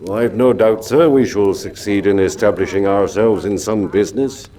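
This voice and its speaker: An actor playing a sombre, deferential butler in a radio drama, speaking formally and with servile restraint. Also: male voice, on the low side, moderate pace, warm tone, natural voice. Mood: subdued, uneasy. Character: sombre, deferential male butler of an old manor.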